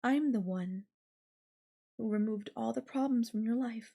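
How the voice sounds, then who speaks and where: A woman playing an obsessive, possessive girlfriend in an intimate audio roleplay, sweet and coaxing with a menacing edge and lingering pauses. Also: a little high, creaky, mellow. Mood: soothing, menacing.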